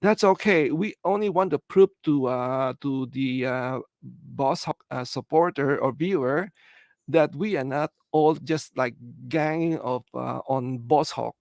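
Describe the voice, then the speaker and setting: A middle-aged man from the Philippines with a Filipino English accent, hosting a casual livestream and answering viewer comments in a halting, earnest way, full of "uh" and "you know.". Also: medium pitch, creaky, a little mellow, no room sound. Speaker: livestream host.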